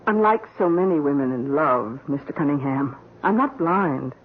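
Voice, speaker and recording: A woman playing a shrewd, scheming middle-aged housekeeper in a radio drama, with deliberate, insinuating phrasing. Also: low-pitched, expressive. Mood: coolly coaxing. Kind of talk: 1970s radio drama acting. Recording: narrow-band old radio recording, faint hiss.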